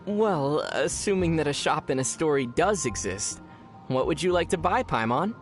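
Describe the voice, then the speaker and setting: A man playing a young adventurer hero in a video game, with a youthful voice and a measured, thoughtful, gently wry manner. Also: on the high side, expressive, natural voice. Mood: wry, curious. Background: music.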